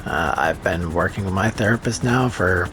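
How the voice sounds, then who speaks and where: A man's voice: low-pitched, moderate pace, noisy background. A man rambling through casual gameplay commentary, sarcastic and profane, with a voice that is starting to go. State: losing his voice. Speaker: gaming streamer.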